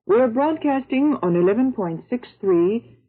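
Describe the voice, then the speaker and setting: A woman radio announcer making a formal, deliberate station announcement in 1940s shortwave broadcast style. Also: a little high, lively intonation. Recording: husky-sounding, phone-like 1940s shortwave radio recording.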